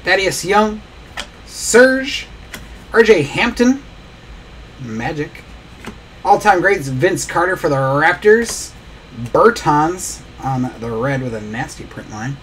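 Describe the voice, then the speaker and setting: A man's voice: a little high, animated, slow, pauses often, fairly bright tone, a little hiss or hum, poor audio. A man hosting a live trading card break, opening packs and rattling off player names as he goes. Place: small indoor studio desk.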